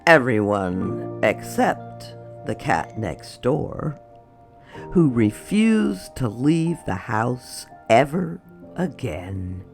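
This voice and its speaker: Children's read-aloud narrator: expressive and very lively in pitch, slow delivery, light music behind. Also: gravelly. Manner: expressive, unhurried, addressing young children.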